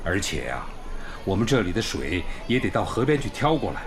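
A man's voice: deep voice, big pitch swings, fairly quick, background noise. A man acting as a kindly, courteous village primary school principal in a Chinese radio drama. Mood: hospitable. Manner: courteous, welcoming. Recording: music bed behind the voice.

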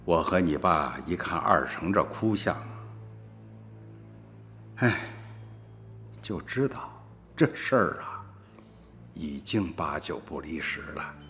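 A man's voice: low-pitched, expressive, slow, pauses often, a bit bright, full character voice. An actor playing a stern, wily older villager, an uncle and grandfather, in a radio drama with emphatic, exclamatory rustic speech. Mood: calm, recounting. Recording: reverberant studio drama with music.

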